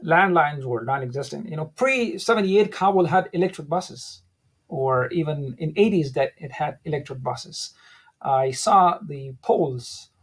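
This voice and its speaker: A middle-aged man from Afghanistan, speaking as an interview guest, recalling his past in a measured, reflective way with frequent restarts. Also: a little high, lively intonation, breathy edge. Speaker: guest, academic center director. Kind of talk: interview, personal recollection.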